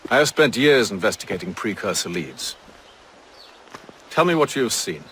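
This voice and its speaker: A man playing a stern, authoritative leader of a secret order in a game cutscene, formal and measured. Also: fairly low pitch, very animated, fairly bright tone, slight background noise. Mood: probing. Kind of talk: video game cutscene acting.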